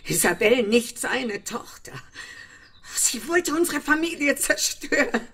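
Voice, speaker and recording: An unhinged, bitter mother in a crime radio drama. Her high, husky voice swoops wildly as she draws out her words. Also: animated, measured pace, fairly bright tone, full character voice. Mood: bitter, hysterical.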